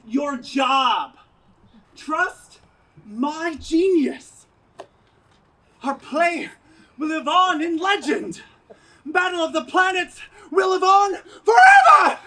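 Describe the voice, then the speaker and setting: An actor as a high-pitched, pompous playwright. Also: expressive, very slow, pauses often, bright, forceful tone, shouting, full character voice. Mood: outraged, shouting. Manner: grandiose, declamatory, imperious. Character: pompous, tyrannical radio playwright and director, theatrically grandiose. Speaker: stage actor. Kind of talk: community theater stage comedy acting. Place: outdoor stage in a parking lot.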